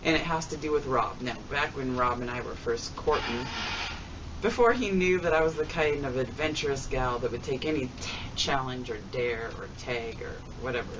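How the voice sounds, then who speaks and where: A woman's voice: extremely low pitch, normal speed. A woman vlogger telling stories in a rambling, folksy, chatty way with homespun phrases. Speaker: vlogger doing a tag video. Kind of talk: rambling casual storytelling. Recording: distant mic, dull sound, noisy background.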